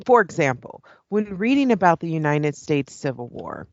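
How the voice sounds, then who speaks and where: A woman's voice: sits low, big pitch swings, moderate pace, no room sound, highs cut off. A woman playing a composed moderator presenting a broadcast debate in an audio play. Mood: composed, brisk. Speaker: debate show host. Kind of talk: radio play presenter acting.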